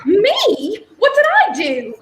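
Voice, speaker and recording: A young woman plays the leading lady of an old-time radio thriller. Her voice is extremely high and lively in its swoops, with an earnest but prickly temper. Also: slow pace, heavily acted. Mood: puzzled, impatient. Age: young adult. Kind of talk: 1930s radio play acting.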